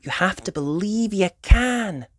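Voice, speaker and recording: A woman's voice: medium pitch, lively intonation, measured pace, no echo, studio quality, voice pushed up. A woman voicing a reassuring, encouraging mother pig in a children's storybook.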